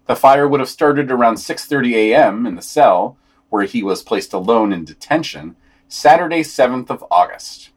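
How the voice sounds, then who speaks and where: A man with a slightly husky voice reading written news summaries and quotes aloud on a podcast, with some commentary. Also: medium pitch, animated, normal speed.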